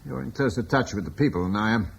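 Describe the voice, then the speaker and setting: An actor playing a calm, commanding middle-aged husband and guard leader, warmly reassuring, in a radio drama. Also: male voice, fairly low pitch, quick pace, creaky, dull audio, a little hiss or hum.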